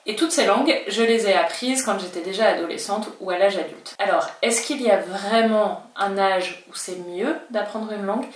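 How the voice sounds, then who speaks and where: Woman, native French speaker, Spanish teacher; friendly explainer, mid pitch, a bit off-mic in an echoey room. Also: moderate pace, hardly any pauses, recorded from a distance. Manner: chatty, reassuring, acts out learners' worries in quotes. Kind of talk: talking-head language lesson.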